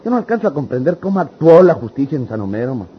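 A man's voice: fairly high pitch, sing-song, fast talker. An actor playing a scornful, disbelieving country man in an old-fashioned radio serial, ironic in tone. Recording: dull lo-fi radio serial with noise.

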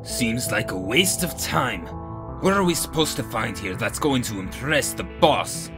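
An actor playing a grumbling, uneasy, skeptical henchman with a raspy voice in an audio drama. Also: medium pitch, expressive, a little slow, over music, lightly acted.

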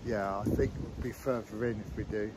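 A man's voice: on the low side, fairly even intonation, moderate pace. A man hosting an outdoor vlog, chatting casually and rambling as he walks through winter woodland. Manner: chatty, rambling, laughs often. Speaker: outdoor vlogger and channel host. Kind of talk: casual walk-and-talk vlog. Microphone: camera mic on a stand outdoors. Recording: wind noise on an outdoor mic.

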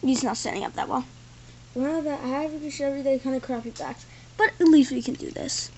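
A woman with a high voice chats her way through a toy review, loose and rambling. She is recorded on a tripod camera in a carpeted room indoors, with a faint hum of room noise underneath. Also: fairly even intonation, fairly quick. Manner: rambling, loose, full of "like" and "thing". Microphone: camera on a tripod, built-in mic.

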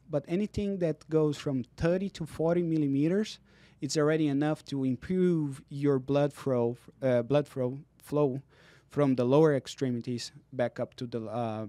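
A man's voice: fairly high pitch, mellow, dry recording. A man in his thirties, a physical therapist, explaining exercises and leg health earnestly in a studio interview. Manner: earnest, explanatory, non-native phrasing. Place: dark podcast studio. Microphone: studio mic on boom arm, headphones.

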